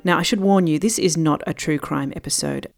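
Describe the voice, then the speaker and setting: A woman podcast host with a lowish voice and plenty of vocal fry. She narrates in an informal, explanatory way, talking directly to the listener and putting questions to her guest. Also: brisk, faint music behind. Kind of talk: conversational narration and interview.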